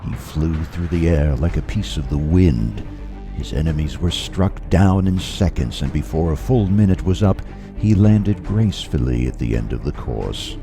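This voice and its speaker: A man's voice: very deep voice, expressive, normal speed, over music. A man playing a solemn storyteller, narrating an audio drama adventure. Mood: dramatic.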